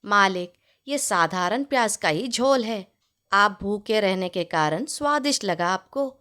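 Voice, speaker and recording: A woman's voice: mid-range voice, animated, a little slow, sharp tone, voice pushed down. A woman voicing a clever, patient male gardener in a storybook tale, explaining things respectfully to his master. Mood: explaining, wise. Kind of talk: storybook character voice in narrated tale.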